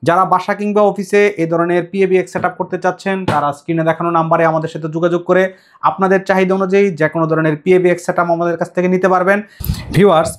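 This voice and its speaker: A man with a Bangladeshi accent presenting a product demonstration to viewers, explaining step by step and repeating his points. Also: fairly high pitch. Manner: explanatory, repetitive. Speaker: shop presenter demonstrating intercom equipment.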